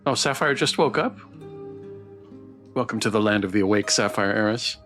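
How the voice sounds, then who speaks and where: A man's voice: on the low side, moderate pace, fairly bright tone, faint music behind. A man giving casual livestream commentary as he plays a game, sometimes reading choices aloud. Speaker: streamer playing a game.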